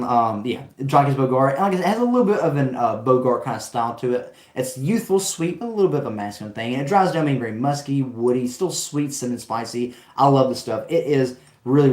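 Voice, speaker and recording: A man's voice: mid-range voice, darkish tone, roomy sound. A man hosting a live stream, chatting with viewers in an enthusiastic, rambling way, his voice worn from talking. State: voice going out from long talking. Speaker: fragrance live stream host. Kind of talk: casual live stream chat reading comments.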